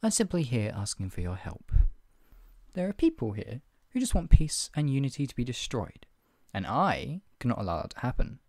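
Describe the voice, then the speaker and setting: A man's voice: medium pitch, sing-song, moderate pace, no room sound, clean studio sound. A man playing a stern, menacing redcoat soldier in a scripted roleplay interrogation, with a clipped, authoritative, condescendingly polite manner. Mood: measured, persuasive. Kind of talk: ASMR roleplay, one-sided scripted dialogue.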